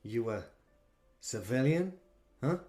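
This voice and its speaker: A man voicing a calm, menacing vampire who speaks with cold politeness. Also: medium pitch, slow pace, faint music behind, voice pushed up.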